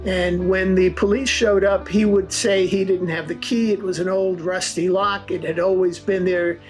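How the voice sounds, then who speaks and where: A high-voiced man retells a story in a documentary interview, in a loose, conversational way with small hesitations. Soft music sits faintly behind his voice. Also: level tone, normal speed.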